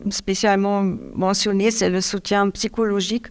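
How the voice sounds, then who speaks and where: A middle-aged woman from Germany speaking French with a German accent, giving a hesitant, halting caregiver's testimony with many restarts. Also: medium pitch, flat delivery, clear, resonant tone. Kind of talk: public testimony interview.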